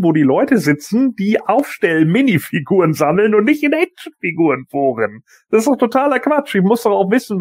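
Man, fairly high voice, very lively intonation, colloquial, emphatic, podcast panelist. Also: no echo, clean studio sound. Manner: colloquial, emphatic, tag questions. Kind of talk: casual podcast discussion.

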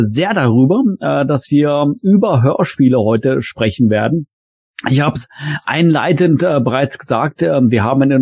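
A man hosts a podcast in a conversational way. His voice is dark and husky, of middling pitch, and recorded very dry. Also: no echo. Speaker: podcast host. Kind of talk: conversational moderating.

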